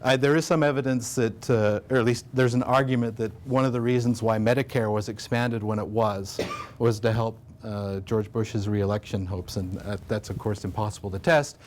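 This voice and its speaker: An American man presenting research at an academic conference, talking in a relaxed way with many fillers and restarts. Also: fairly low pitch, moderate pace, slight background noise. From United States. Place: conference room.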